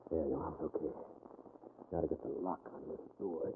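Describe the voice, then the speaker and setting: An actor playing a shrewd, commanding male sleuth who gives brisk orders in a 1940s radio mystery. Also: rumbling low, steady tone. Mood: brisk, hushed. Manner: brisk, bossy, clipped orders. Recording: dull, noisy 1940s radio recording.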